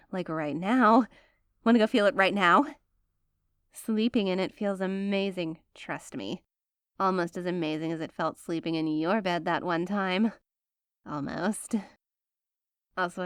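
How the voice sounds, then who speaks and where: A woman's voice: medium pitch, no echo, studio quality, natural voice. A woman playing a rich, possessive, doting girlfriend in an intimate ASMR roleplay, sweet-talking one listener in a coaxing way. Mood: apologetic, soft.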